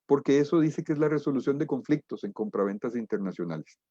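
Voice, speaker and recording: Man, Guatemalan accent, webinar lecturer, deliberate and emphatic delivery, dry room, slightly dull lo-fi audio. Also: medium pitch, lively intonation, warm tone, husky, no echo. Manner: deliberate, emphatic, rhetorical questions. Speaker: international trade lecturer and expert.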